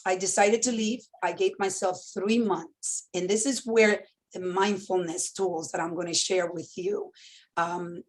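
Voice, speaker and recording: A woman's voice: on the low side, a little slow. Woman in her fifties telling her story. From Dominican Republic. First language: Spanish. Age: 50s. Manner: open, animated storyteller with frequent fillers. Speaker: entrepreneur guest speaker. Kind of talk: fireside chat storytelling.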